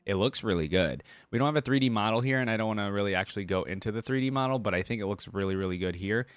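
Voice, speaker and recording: A man with a fairly low voice hosts a reaction video in casual, slangy commentary. He thinks out loud in an informal way, and the recording is dry and close, with little room sound. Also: very fast, clean tone, dry recording.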